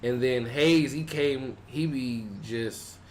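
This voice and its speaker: Give me a young Southern man telling animated stories as a podcast guest, mid pitch. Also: slow pace, slight background noise. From Houston, Texas. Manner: animated storyteller, acts out quotes in a higher voice. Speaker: rapper guest. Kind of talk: casual podcast interview.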